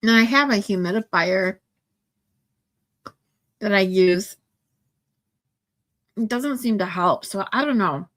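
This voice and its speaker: A woman's voice: medium pitch, takes it slowly, pauses often, a bit bright. A woman in her forties with a stuffy nose, chatting casually with viewers on a livestream, rambling with many ums and restarts. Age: 40s. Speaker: livestream host.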